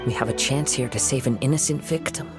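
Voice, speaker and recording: An actor voicing a calm, measured young samurai wanderer who reasons steadily. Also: male voice, medium pitch, over music, close to an everyday voice. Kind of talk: video game voice acting.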